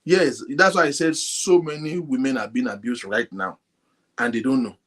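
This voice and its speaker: Male show host, husky and quiet, talks straight to viewers at home. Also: medium pitch, moderate pace. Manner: speaks softly, animated, addresses viewers directly. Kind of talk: casual talk to a live audience. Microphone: phone linked to a computer.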